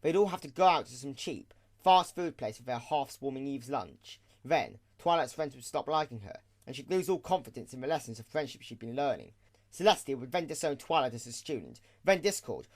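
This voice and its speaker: Lively male story narrator. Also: mid-range voice.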